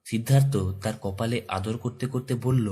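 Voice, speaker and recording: A man's voice: mid-range voice, moderate pace. A man with a Bengali accent reading scripted narration for a romantic audio story. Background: soft background music.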